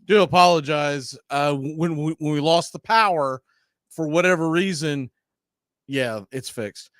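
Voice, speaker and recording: High-pitched man talking sports, close dry sound. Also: a little slow, fairly bright tone, dry recording. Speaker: sports stream host. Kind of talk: casual live sports talk.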